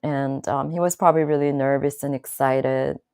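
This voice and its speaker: A woman hosting her own channel, chatting casually to viewers in a slow, thoughtful way with many fillers and restarts. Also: very deep voice, fairly even intonation, darkish tone, no echo, clean studio sound. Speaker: channel host. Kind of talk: casual live-stream talk to viewers.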